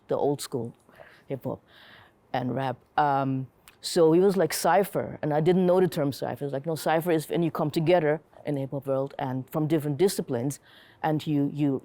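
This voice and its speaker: A woman's voice: low-pitched, brisk, warm tone. A middle-aged woman from Germany, telling personal stories in a casual, reflective interview style. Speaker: interviewee, comic artist and curator.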